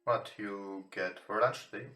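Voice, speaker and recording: A man who is not a native English speaker, reading a visual novel aloud with casual commentary in a somewhat halting way. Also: lowish voice, fairly even intonation, sharp tone. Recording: roomy, echoing home recording. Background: game music.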